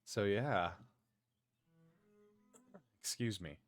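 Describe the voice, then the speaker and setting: A man hosting a live stream in casual chat, groggy from just waking up and rambling in a low-key way. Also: very slow, soft background music. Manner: rambling and low-key, with many filler words. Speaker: streamer and podcast host.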